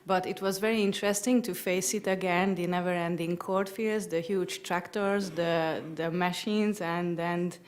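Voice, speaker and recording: Hungarian woman reading poetry. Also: mid-range voice. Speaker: visiting writer. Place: public library event room.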